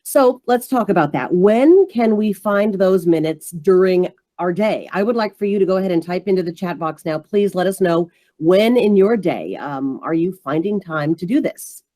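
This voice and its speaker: A woman's voice: lowish voice, animated, moderate pace, dark tone. A woman presenting an interactive online workshop for parents in an encouraging, emphatic way.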